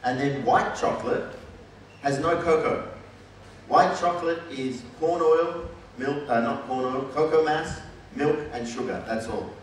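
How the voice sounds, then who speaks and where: A middle-aged man, a chef, explaining a live cooking demonstration step by step to an audience. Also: medium pitch. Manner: relaxed, explains step by step in short phrases. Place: large hall with a stage and audience. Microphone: headset mic. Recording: very echoey hall, heard from a distance, with audible room noise.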